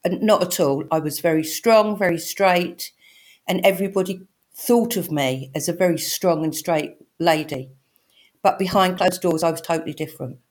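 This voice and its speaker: Croaky older lady, lowish voice, telling it straight. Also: normal speed, darkish tone. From England. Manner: straight-talking, candid. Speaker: guest. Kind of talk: personal storytelling.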